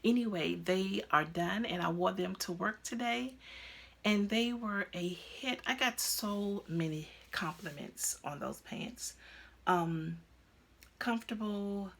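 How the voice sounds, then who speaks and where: A woman's voice: mid-range voice, takes it slowly, vocal fry, a bit bright. A woman in her fifties hosting a crafting podcast, chatting casually at home with lots of ums and restarts. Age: 50s. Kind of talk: casual chat to camera. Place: at home, indoors.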